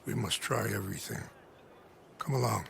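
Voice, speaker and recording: Solemn old game leader, heavily creaky, formal and patient. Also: male voice, lowish voice, normal speed. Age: older. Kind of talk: video game cutscene acting.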